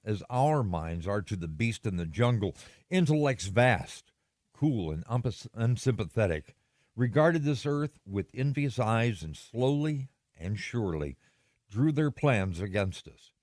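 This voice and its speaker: An actor playing a solemn, foreboding storyteller in an old-time radio drama, with grave, theatrical, measured phrasing. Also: male voice, on the low side, darkish tone, dry recording, clean studio sound. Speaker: dramatic narrator.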